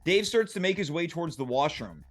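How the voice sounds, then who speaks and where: Animated higher-pitched man reading stage directions. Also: a little fast, soft background music. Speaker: stage-direction reader at a comedy table read. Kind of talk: scripted narration, read aloud.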